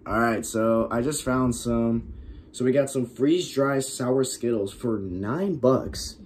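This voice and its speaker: A young man with a raspy, worn-out voice, chatting casually as host of a reaction video, filling his talk with "like" and laughing often. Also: mid-range voice, slow pace, a little mellow, slight background noise. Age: young adult. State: raspy, losing his voice from shouting. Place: small bedroom with LED lights.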